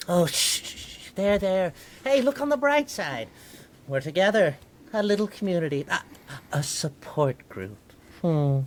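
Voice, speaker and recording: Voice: mid-range voice, big pitch swings, unhurried, slight background noise. An actor voicing a wry, chatty piece of bubble gum, the optimist of a group in a comedic audio drama. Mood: wry, soothing. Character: soothing, sardonic, optimistic team-minded piece of bubble gum.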